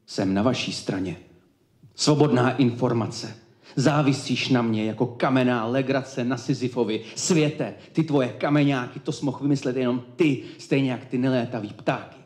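Male slam poet, mid-pitched, emphatic declamation with deliberate pauses, reverberant live room. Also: darkish tone. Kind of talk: slam poetry performance. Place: indoor cultural evening event, live before an audience.

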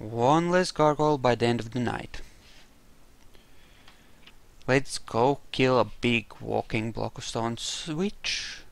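A man's voice: mid-range voice, takes it slowly, creaky, long pauses. A man giving casual commentary on a role-play game playthrough, musing and thinking aloud as he goes.

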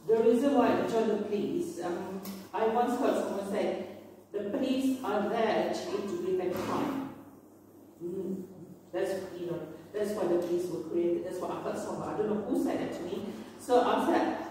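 A woman's voice: mid-range voice, moderate pace, warm tone, a little hiss or hum. A woman from Malaysia, a community support officer, sincerely introducing herself at a meeting, with restarts. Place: large echoey meeting room. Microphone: phone camera from across the room. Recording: echoey room recorded from far off.

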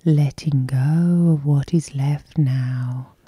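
Slow, whispery dark-voiced woman guiding sleep. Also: very deep voice, fairly even intonation, no room sound, studio quality. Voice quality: breathy, whispery. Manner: soft, slow, lulling. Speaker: hypnosis session host. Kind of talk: soothing sleep hypnosis guidance, ASMR.

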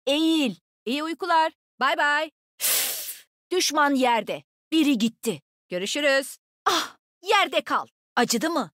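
A confident young woman fighter from a shooter game. Her voice is high and swings in lively leaps, teasing and full of energy. The sound is dry and close, with no room around it. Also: slow, no room sound, full character voice. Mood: taunting. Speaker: video game voice actor. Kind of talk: game character voice lines, short combat callouts.

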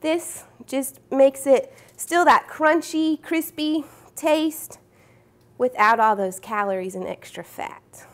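Cooking show host: young woman, high voice, friendly step-by-step kitchen explaining. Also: takes it slowly. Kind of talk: cooking demonstration. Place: home-style studio kitchen.

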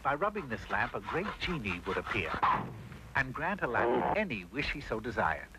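A man's voice: mid-range voice, sing-song, moderate pace. A man narrating a mock fairy tale in 1960s cartoon style, with a wry, theatrical storyteller delivery. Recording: very noisy old cartoon soundtrack.

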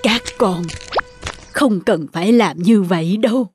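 A woman voicing a kindly old village father in an animated folk tale, plaintive and fatherly, with a husky voice. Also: mid-range voice, unhurried, over music, natural voice. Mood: warm, grateful.

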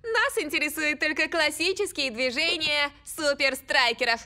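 A woman's voice: high voice, normal speed, sharp tone. An actress playing an enthusiastic woman who guides visitors around a game studio in a cartoon dub. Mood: excited. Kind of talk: animated cartoon dubbing. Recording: music playing behind the voice.

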